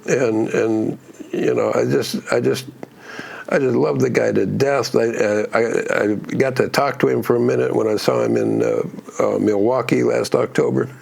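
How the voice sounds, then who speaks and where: Gruff, gravelly man rambling about music. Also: mid-range voice, normal speed, a little mellow. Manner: rambling, many restarts and fillers, enthusiastic. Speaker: music channel host. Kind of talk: casual music breakdown.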